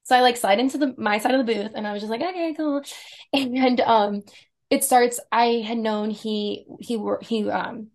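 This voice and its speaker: Chatty thirties woman telling a date story. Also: moderate pace. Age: 30s. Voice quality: deep voice for a woman. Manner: animated storyteller, acts out quotes, laughs often. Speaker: podcast guest, esthetician. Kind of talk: casual chat, storytelling.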